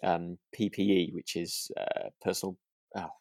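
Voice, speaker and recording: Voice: low-pitched, flat delivery, a little slow, darkish tone, no echo. Tentative podcast co-host, closely recorded and dry. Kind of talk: casual chat.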